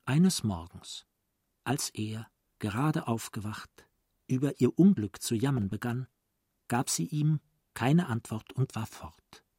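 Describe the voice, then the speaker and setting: A man narrating a literary radio play gravely, with deliberate dramatic emphasis. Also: medium pitch, lively intonation, dark tone, dry recording, studio quality.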